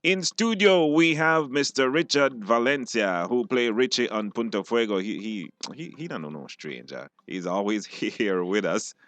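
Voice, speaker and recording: A man with a Belizean accent casually hosting a live radio show, halting and repeating words. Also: mid-range voice, expressive, a bit bright, no room sound. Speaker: radio call-in show host. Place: radio studio.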